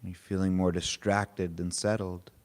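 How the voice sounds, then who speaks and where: A man leading a guided meditation, speaking in short, unhurried, gently informal phrases with pauses between them. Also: sits low, level tone. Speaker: meditation teacher. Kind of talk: guided meditation, spoken softly to a group.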